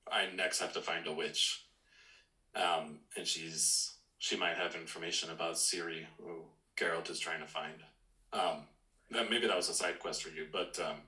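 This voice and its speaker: A man's voice: low voice, pushed and bright. A man in casual discussion of video games as a podcast co-host, phrasing his points with care. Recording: roomy echo.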